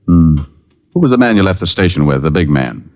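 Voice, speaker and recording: An actor playing a tough, terse private detective in 1940s radio style, with a firm, clipped delivery. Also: male voice, low voice, sing-song, a little fast, soft background music. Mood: firm, pressing. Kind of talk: 1940s radio detective acting and first-person narration. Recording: muffled old radio recording.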